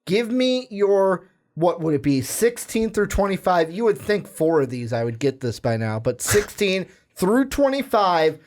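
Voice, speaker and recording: A man co-hosts a sports podcast with energy, reading out rankings and plugging the show. His voice sits a bit high for a man. He talks in a loose, casual way. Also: a little slow. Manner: energetic, plugs and runs the segment. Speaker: podcast co-host. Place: podcast studio.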